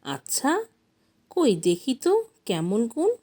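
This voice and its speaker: Storybook sneaky male pal read by a woman, soft-toned, expressive, fake-caring and scheming. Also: on the high side, slow, full character voice. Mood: curious. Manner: coaxing, scheming. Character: sly, thieving male friend, falsely caring.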